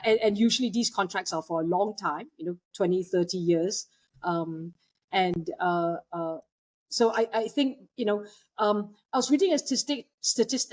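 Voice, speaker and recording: Soft-spoken woman from Malaysia, hesitant. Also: fairly low pitch. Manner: hesitant, many fillers and restarts. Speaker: guest, waste technology entrepreneur. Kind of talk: conversational interview.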